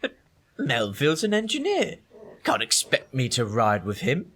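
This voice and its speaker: A man's voice: mid-range voice, huge pitch swings, normal speed, a bit bright. A man playing a proud, argumentative naval line officer in a radio play, sounding indignant and pompous.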